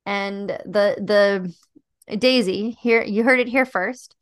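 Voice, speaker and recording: A young woman with a high voice talks through a dating show in a thoughtful, reflective way, often pausing on "um" and "like." Her pitch sits in an ordinary middle range. The recording is close and dry, with no room sound. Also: no room sound. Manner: thoughtful, reflective, many "like" and "um" fillers. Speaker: podcast co-host. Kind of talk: casual chat about a dating show.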